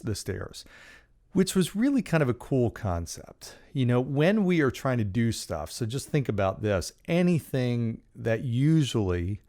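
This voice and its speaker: Lowish-voiced male podcast host, casual. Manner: casual, joking, animated with hand gestures. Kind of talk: solo leadership talk. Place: small studio with dark acoustic panels. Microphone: large studio condenser mic in front.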